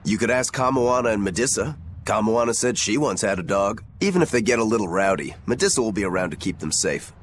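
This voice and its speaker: A man's voice: lowish voice, lively intonation, quick pace, a little hiss or hum, natural voice. A man playing a practical, easygoing, caring crewmate in a video game. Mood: advising. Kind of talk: English game voice acting.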